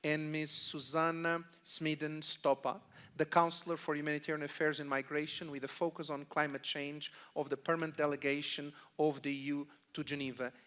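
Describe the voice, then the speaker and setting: A man's voice: a little high, flat delivery, brisk, fairly bright tone, smooth. A man moderating a conference panel, introducing speakers formally and courteously with occasional hesitations. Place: conference room.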